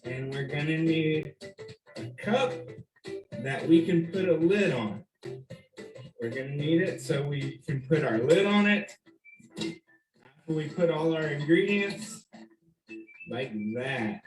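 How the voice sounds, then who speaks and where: Slow-paced man guiding young children, roomy echo. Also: fairly high pitch, lively intonation, music in the background. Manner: simple step-by-step phrasing with questions and pauses for the child. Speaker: children's story time host. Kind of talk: children's cooking demonstration, speaking directly to young viewers.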